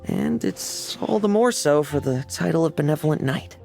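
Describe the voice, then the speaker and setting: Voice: medium pitch, expressive, moderate pace, vocal fry, darkish tone, soft background music. An actor playing a cocky, wry young knight in a video game, with a sardonic, nonchalant delivery. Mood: playful, determined. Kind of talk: dramatic game voice acting.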